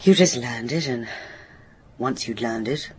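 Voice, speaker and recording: A woman's voice: rumbling low, unhurried, slight background noise. A British woman playing a troubled, hesitant daughter of a railway signalman in a naturalistic radio drama. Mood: reflective. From Britain. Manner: hesitant, self-correcting, reflective. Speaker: actor in a radio play.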